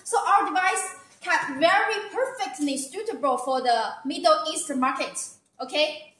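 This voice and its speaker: A woman's voice: high-pitched, a little slow, a bit bright. A woman with a Chinese accent presenting products on a live sales stream, eager and chatty, saying okay and wow a lot. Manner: eager, repeats "okay" and "wow", non-native phrasing. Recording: very echoey room.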